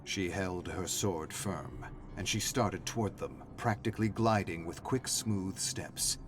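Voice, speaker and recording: Guy w a lowish voice reading a dramatic story, music playing clearly behind him. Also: measured pace. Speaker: story narrator. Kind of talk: dramatic scripted narration.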